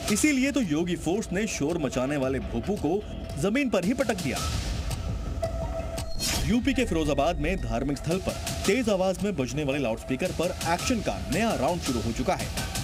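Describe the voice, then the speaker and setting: A male news narrator with a fairly high, very animated voice. He delivers scripted reports emphatically and dramatically, over a clear music bed. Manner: punchy, emphatic, sensational delivery.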